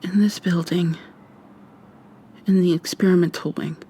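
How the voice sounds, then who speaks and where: A woman playing a defiant, frightened young human captive in an intimate, hushed, breathy ASMR roleplay, tense and sweary. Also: fairly low pitch, steady tone, a little slow. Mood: urgent, hopeful. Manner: tense, profane, confrontational.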